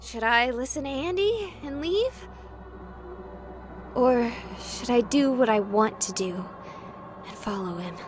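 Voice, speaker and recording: High, animated young ghost-hunting streamer. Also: female voice, slow pace, long pauses, music in the background. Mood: nervous, questioning. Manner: theatrical, wisecracking. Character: chatty, jokey young woman ghost-hunting on a livestream. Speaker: live-streaming ghost hunter. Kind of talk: dramatic visual novel voice acting.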